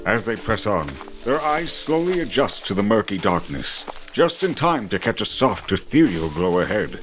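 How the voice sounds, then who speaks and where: Guy narrating fantasy story, dramatic and theatrical, pitch moves a lot, music playing behind him. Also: big pitch swings, normal speed.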